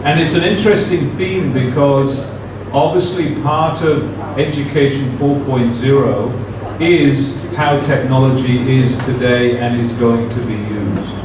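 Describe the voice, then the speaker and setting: Brief: man, slow measured conference speaker, heard from a distance in a very echoey room. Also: mid-range voice, steady tone. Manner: measured, careful delivery with frequent "uh" fillers. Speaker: guest speaker at a university seminar. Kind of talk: formal conference talk. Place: university seminar room. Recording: very echoey room, distant mic, background noise.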